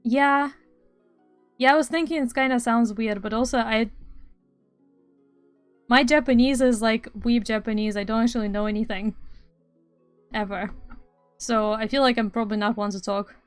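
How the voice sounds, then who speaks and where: A woman's voice: on the high side, steady tone, moderate pace, pauses often, smooth, dry recording. A young woman from Estonia, streaming and chatting casually, rambling with dry sarcastic asides. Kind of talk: casual live-stream chat. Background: faint music.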